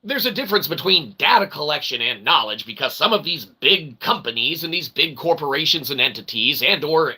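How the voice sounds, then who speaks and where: A man's voice: fairly high pitch, expressive, a little fast, few pauses, sharp tone, slightly rough. A man hosting a solo web show in a rambling comedic monologue, theatrical and goofy, with wordy mock-grandiose phrasing.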